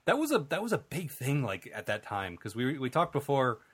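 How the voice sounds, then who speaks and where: A man co-hosting a casual podcast, with a lively, swinging pitch. His voice is bright and forward, and he laughs often between his remarks. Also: a little fast, husky, dry recording. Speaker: podcast co-host. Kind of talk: casual chat.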